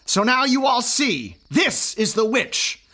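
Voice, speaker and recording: A man playing a fanatical, self-righteous reverend who leads witch hunters, preaching slowly and menacingly in an audio drama. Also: extremely high pitch, very animated, pushed and bright, full character voice. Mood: menacing, accusing.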